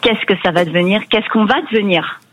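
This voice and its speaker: A woman calling in to a radio show, talking haltingly with many fillers and repeated words. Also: medium pitch, low-quality recording. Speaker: radio caller. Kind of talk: emotional call-in chat.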